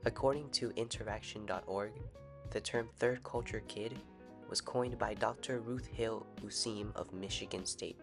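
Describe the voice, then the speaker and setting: A man's voice: fairly low pitch, steady tone, normal speed, creaky. A man hosting a podcast, reading scripted narration and asking his guests short questions. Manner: reads narration evenly, asks short questions. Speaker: podcast host. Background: music playing underneath.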